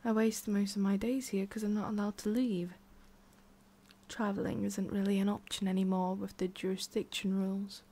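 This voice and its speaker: Haughty forest witch, played by a woman, ASMR roleplay. Soft-spoken, halting, condescending, with whispery breaths and a hidden tender streak. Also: medium pitch, flat delivery, natural voice. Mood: wistful, halting. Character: haughty, prickly tsundere forest witch, secretly soft-hearted.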